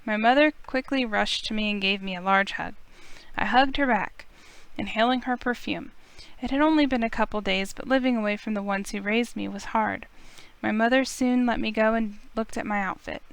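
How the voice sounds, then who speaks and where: A woman's voice: on the high side, moderate pace, gravelly, smooth. A woman playing an earnest, anxious young heiress, narrating her own story in a visual novel. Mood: reflective, tense. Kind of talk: scripted first-person visual novel narration.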